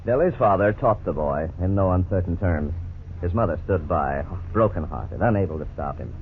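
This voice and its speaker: A man narrating a 1950s radio drama in a measured, grave manner. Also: deep voice, animated. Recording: tinny old radio broadcast, faint hiss.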